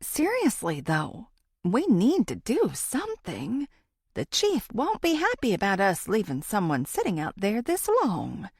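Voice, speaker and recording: A woman narrator puts on an anxious male cop in a thriller audiobook. His higher voice swings widely as he frets and presses his point with pious alarm. The sound is dry. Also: huge pitch swings, measured pace, darkish tone, slightly rough, no room sound, full character voice. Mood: agitated. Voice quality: higher-pitched man's voice. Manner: agitated, worried.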